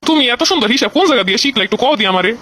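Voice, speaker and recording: A man's voice: very high voice, animated, racing, pushed and bright, dry recording, heavily acted. A man with a Bangladeshi accent voicing a stingy, exasperated henpecked husband who grumbles defensively in a comedy cartoon. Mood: puzzled.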